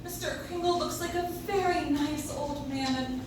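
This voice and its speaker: A woman playing a gently chiding wife and mother in an old-time radio play. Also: high-pitched, normal speed, close to an everyday voice. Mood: gently reproachful. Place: live stage in a coffee house. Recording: very echoey, distant, noisy audience recording.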